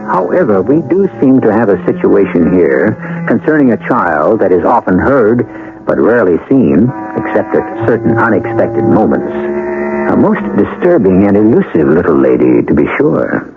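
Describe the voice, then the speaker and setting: An actor playing a sly, ominous host, narrating a 1970s radio mystery in a theatrical, teasing way. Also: male voice, on the low side, big pitch swings, music in the background. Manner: slow, theatrical, teasing suspense. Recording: muffled vintage radio broadcast.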